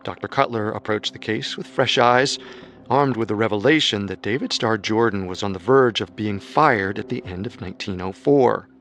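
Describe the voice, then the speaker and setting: Low-voiced man narrating true crime. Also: normal speed, fairly bright tone. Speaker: narrator, co-host.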